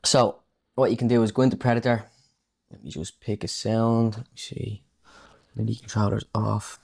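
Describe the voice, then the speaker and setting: A man's voice: fairly low pitch, steady tone, normal speed, creaky, darkish tone. A man giving a casual, unscripted music production tutorial, thinking aloud informally as he works. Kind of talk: casual unscripted tutorial talk while working.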